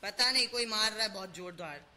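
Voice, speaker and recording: Rambling young gaming host. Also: male voice, sits high, fairly quick, sharp tone, a little hiss or hum. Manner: rambling, self-correcting, playful swearing. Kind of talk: gameplay chatter and casual narration to viewers.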